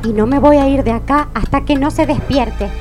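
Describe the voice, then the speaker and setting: High-voiced, brazen Argentine girlfriend, played by an actress. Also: moderate pace, music in the background, lightly acted. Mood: melodramatic. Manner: brazen, melodramatic. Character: flamboyant, defiant redheaded girlfriend in a comic melodrama. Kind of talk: comic radio drama acting.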